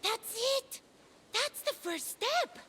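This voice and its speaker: A woman's voice: very high-pitched, animated, slow pace, sharp tone, a little hiss or hum, exaggerated voice. A woman voicing a small, angry, bossy child goddess in a video game, feisty and imperious, with music behind her. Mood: commanding. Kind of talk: animated video game voice acting.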